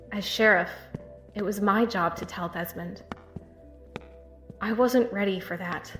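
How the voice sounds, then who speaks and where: Actress as calm female sheriff, measured and earnest, quietly grieving, audio drama, medium pitch, strong echo with music behind. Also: close to an everyday voice. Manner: measured, earnest, halting when emotional. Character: town sheriff, calm, grieving, protective woman. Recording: echoey tunnel-like reverb with music.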